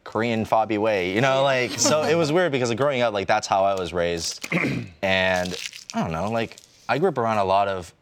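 A man's voice: on the low side, animated, moderate pace, slight background noise. A man chatting casually on a podcast, telling a rambling story with lots of fillers. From United States. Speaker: podcast co-host. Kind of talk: casual chat.

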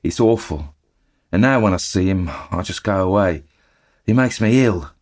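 A man voicing a young Cockney bellboy who talks in rough working-class speech, full of sirs. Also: low voice, expressive, moderate pace, darkish tone. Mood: uneasy. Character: young Cockney bellboy, plain-spoken and earnest.

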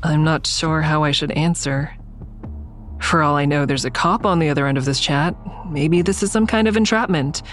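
Low-voiced, wary woman investigator played by an actress. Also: normal speed, faint music behind, close to an everyday voice. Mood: uneasy, reflective. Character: wary, dry-witted woman investigator narrating her own story. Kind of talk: first-person audio drama narration and dialogue.

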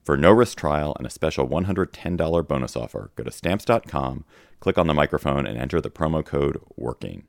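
Very deep, gravelly man. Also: lively intonation, brisk, dry recording, clean studio sound. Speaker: sponsor announcer. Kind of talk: scripted podcast ad read.

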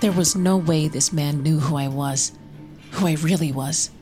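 A woman's voice: low-pitched, normal speed, close to an everyday voice. An actress playing a guarded, troubled mother in her thirties, hesitant and secretive, in an audio drama. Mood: somber, confiding. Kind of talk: audio drama acting and first-person narration. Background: music.

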